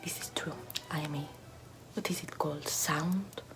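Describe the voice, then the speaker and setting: A woman's voice: sits low, fairly even intonation, normal speed, close to an everyday voice. A woman whispering as a vain, pompous aristocratic lady doing makeup in an ASMR roleplay, in a haughty, self-admiring, gossipy way.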